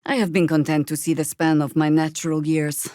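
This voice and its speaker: An actress playing a seasoned, sardonic older druid adventurer in a video game, with dry, wry and deliberate formal phrasing. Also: female voice, low voice, flat delivery, mellow, no echo, natural voice. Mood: wry, measured. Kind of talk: video game character acting.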